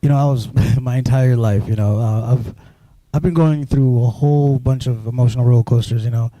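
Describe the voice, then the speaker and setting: Guest, male, from Sudan, deep mellow tone, halting delivery, dry close mic. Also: no room sound. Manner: halting, full of restarts and "you know". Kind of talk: personal storytelling interview.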